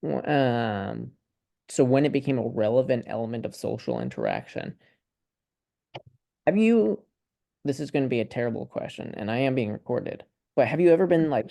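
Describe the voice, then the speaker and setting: A young man from Ukraine chatting casually on a video call in a student discussion, thinking aloud in a tentative, halting, rambling way. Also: medium pitch, sing-song, creaky, dark tone, no echo.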